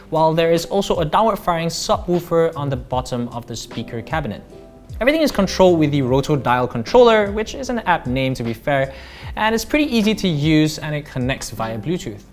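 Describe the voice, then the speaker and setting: A man in his thirties with a Malaysian accent, narrating a scripted product review as a tech reviewer. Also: on the high side.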